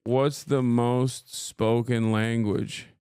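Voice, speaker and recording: A man's voice: medium pitch, steady tone, drawn-out words, dark tone, clean tone, no echo, studio quality. A man from Turkey, a native Turkish speaker, hosting a casual live-stream reaction and bluntly teasing his viewers. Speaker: streamer host.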